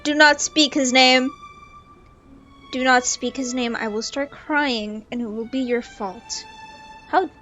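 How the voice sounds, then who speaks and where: A woman's voice: high voice, steady tone, slow pace, long pauses, fairly bright tone, highs cut off. A woman streamer giving casual, playfully exasperated and dramatic reaction commentary over gameplay. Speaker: live game streamer. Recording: game music audible behind.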